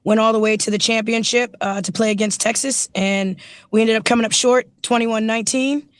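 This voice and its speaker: A woman football coach with a naturally raspy voice, hoarse from yelling, chatting casually with enthusiasm in an interview over a video call. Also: a little high, steady tone, fairly quick, dry recording, clean studio sound. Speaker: running backs coach, guest.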